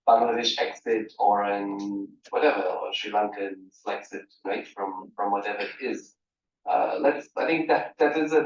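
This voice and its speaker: A man from Portugal speaking as a panelist in a conversational discussion, animated, restarting phrases and often asking "right?". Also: medium pitch. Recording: roomy, distant, dull hall mic.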